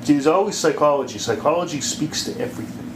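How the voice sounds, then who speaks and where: Fast-talking, confident casual speaker. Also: mid-range voice, animated. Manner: talkative, explains confidently, swears casually. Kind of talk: casual chat. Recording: echoey room with background noise.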